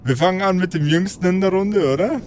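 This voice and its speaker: A man's voice: high-pitched, fast, a little mellow, soft background music. A man acting as game master, casually moderating a tabletop session with playful formality.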